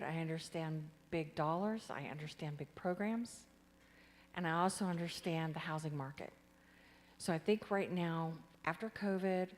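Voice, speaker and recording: A woman's voice: fairly low pitch, darkish tone, big-room sound. A woman giving a confident campaign speech at a candidate forum, listing her credentials. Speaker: city council candidate. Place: community forum hall.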